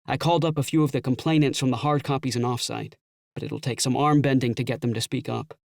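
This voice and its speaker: A man acts out a youthful male police detective in a full-cast audiobook. His voice has a gravelly creak, and he talks in keen, informal, slangy fashion. The sound is close and dry. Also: medium pitch, fairly even intonation, fast, darkish tone, dry recording, lightly acted. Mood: keen, frustrated. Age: young adult. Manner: slangy, casual, eager.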